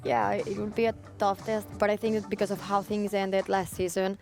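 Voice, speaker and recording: A young woman with a Spanish accent co-hosts a relaxed football talk and reads out fan questions. She speaks with frequent fillers and restarts. Faint music plays under her voice. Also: medium pitch, fairly quick, gravelly. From Spain. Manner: hesitant, filler-heavy. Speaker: co-host reading fan questions. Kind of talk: casual football chat.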